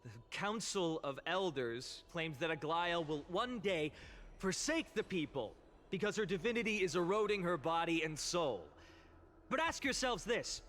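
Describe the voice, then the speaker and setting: A young orator in a video game delivers a fervent public speech. The voice is high and bright, swinging widely with emphatic, eloquent phrasing. Some ambient noise sits behind it. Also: male voice, expressive, unhurried. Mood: impassioned, accusing. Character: impassioned young male orator addressing a citizens' assembly. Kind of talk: dramatic theatrical game voice acting, public oration.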